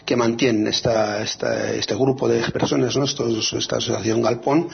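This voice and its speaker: A man co-hosting a radio show about free software, talking in a hesitant, casual way with many fillers and restarts. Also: medium pitch, fairly even intonation, normal speed, gravelly, muffled recording.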